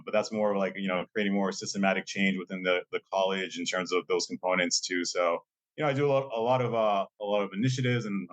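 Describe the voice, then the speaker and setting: A man's voice: deep voice, fairly bright tone, low-quality recording. A young man speaking as a guest in a casual interview, loose and talkative, often tagging his sentences with a questioning "right?" and using many fillers. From Lowell, Massachusetts, USA. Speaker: guest, assistant dean.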